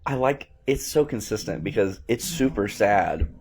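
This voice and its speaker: A man giving casual, slangy, excitable fan commentary as he watches a movie. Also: on the low side, moderate pace, slightly rough. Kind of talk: casual film reaction commentary.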